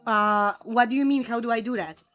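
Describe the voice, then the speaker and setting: A woman's voice: fairly high pitch, brisk, a bit bright, faint music behind. A young woman from Mexico with a Mexican Spanish accent, chatting casually on a live stream and answering viewers' comments. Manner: friendly, answers viewers' questions aloud. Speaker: livestreamer.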